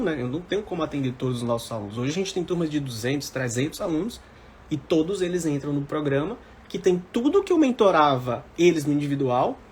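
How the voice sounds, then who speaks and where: A man's voice: fairly high pitch, darkish tone, a little hiss or hum. A man of about forty with a Brazilian accent, giving informal motivational coaching to live-stream viewers, emphatic and repeating his key phrases. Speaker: mentor for civil service exam candidates.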